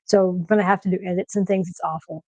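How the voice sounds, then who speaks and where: A woman with a soft nasal voice chatting casually on a livestream from her kitchen, with lots of fillers and restarts. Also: fairly low pitch, fairly even intonation, quick pace, dry recording. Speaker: streamer host. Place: home kitchen.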